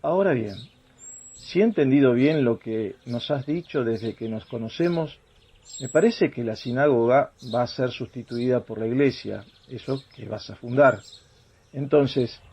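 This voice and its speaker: A man's voice: medium pitch, animated, moderate pace, a little mellow, muffled recording, a little hiss or hum, natural voice. An actor playing an earnest disciple in a religious radio drama, respectfully reasoning aloud as he questions his master. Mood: earnest questioning.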